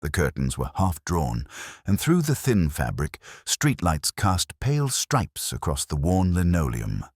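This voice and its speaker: A man's voice: low-pitched, huge pitch swings, a little slow, breathy edge, no room sound, clean studio sound. A man reading a scripted mystery story as a narrator. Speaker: audiobook narrator.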